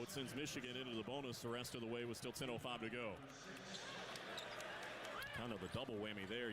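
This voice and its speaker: A man doing radio play-by-play of a basketball game, rapid and rising sharply on big plays. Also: medium pitch, very noisy. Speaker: play-by-play announcer.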